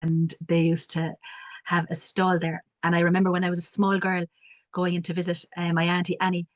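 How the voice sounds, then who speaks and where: A woman with a strong Cork accent talks on a video call, her pitch low-set and held fairly flat. She tells her stories in a reflective way, pausing now and then. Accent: strong Cork Irish. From Cork, Ireland. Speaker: community development worker. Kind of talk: online panel talk.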